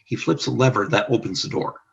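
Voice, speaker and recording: A man's voice: mid-range voice, brisk, creaky, slightly rough, dull audio. A man reading scripted narration for a radio play, describing the action in the present tense.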